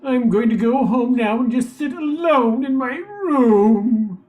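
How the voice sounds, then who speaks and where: A woman's voice: a little high, takes it slowly, warm tone. An older woman playing a nervous, self-pitying, down-on-his-luck man who drinks, in a 1940s-style radio comedy. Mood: glum, self-pitying.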